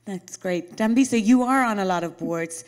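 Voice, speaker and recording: A woman moderates a panel in a large hall, posing brief, encouraging questions. Her voice sits at a middle pitch for a woman. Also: normal speed, darkish tone.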